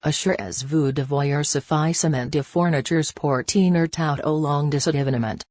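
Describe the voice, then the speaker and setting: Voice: medium pitch, normal speed, darkish tone, no echo. Androgynous synthetic announcer voice, formal emergency alert, very dry sound. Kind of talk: scripted emergency broadcast announcement.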